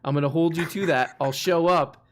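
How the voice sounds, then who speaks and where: A man's voice: fairly high pitch, fairly quick. A man hosting a live call-in stream, chatting casually with callers and joking with mock outrage. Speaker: live show host taking callers.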